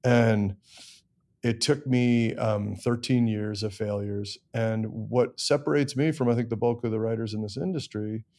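A man's voice: medium pitch, mellow. A middle-aged man with an American accent, telling his story candidly and self-deprecatingly as an interview guest. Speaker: interview guest, songwriter and producer.

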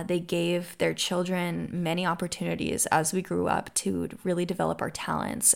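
A woman's voice: lowish voice, creaky. A young American woman hosting her own podcast, answering listener questions in an upbeat, earnest way. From United States. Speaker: podcast host. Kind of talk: solo podcast Q&A.

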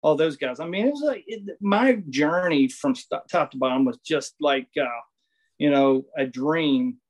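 A middle-aged man from Southwest Virginia, a former pitcher, telling stories in a casual interview with lots of restarts and fillers. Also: moderate pace. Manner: rambling, many restarts and fillers. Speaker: guest, former baseball pitcher.

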